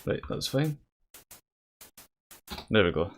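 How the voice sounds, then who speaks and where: A man's voice: fairly low pitch, big pitch swings, takes it slowly. A man giving casual let's play commentary in a hesitant, rambling way, sometimes reading game dialogue aloud. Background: game music.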